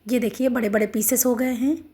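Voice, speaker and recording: A middle-aged woman with a hoarse, sore throat, walking through a home recipe step by step in a homely, instructive way. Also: fairly high pitch, fairly even intonation, a little fast, mellow. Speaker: cooking channel host. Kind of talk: home cooking demonstration.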